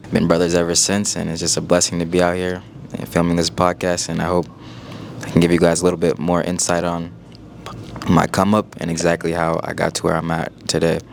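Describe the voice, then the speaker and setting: Laid-back nineteen-year-old man, low flat voice. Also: creaky, slight background noise. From United States (Iowa). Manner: laid-back, relaxed, filler-heavy talk. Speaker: guest entrepreneur. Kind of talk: casual interview.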